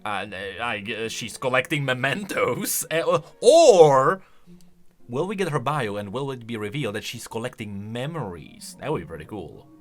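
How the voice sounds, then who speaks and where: A man hosting a game channel, giving casual reaction commentary in an excitable way as he thinks aloud and speculates. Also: mid-range voice, normal speed. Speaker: host of a game lore channel. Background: music.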